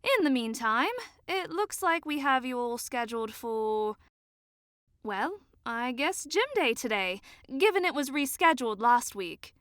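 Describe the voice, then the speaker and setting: Prim, bright-voiced genteel chaperone. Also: female voice, a little high, lively intonation, measured pace, no room sound, clean studio sound. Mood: breezy, polite. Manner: affected, polite, theatrical. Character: prim, genteel adult woman chaperone, airily strict. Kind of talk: audio drama acting.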